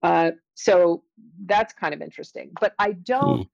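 A woman's voice: lowish voice, unhurried, vocal fry, darkish tone, no room sound. A woman speaking as an academic guest in an interview, explaining carefully with qualifications, on slightly dull, lo-fi audio. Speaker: academic guest, science author.

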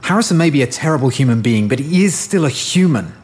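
An actor playing a harried scientist and lab boss in an audio drama, sometimes hesitant and halting. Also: male voice, mid-range voice, lively intonation, darkish tone, lightly acted. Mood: uneasy, guarded. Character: harried male scientist, lab boss, devoted husband-to-be-father. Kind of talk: full-cast audio drama acting.

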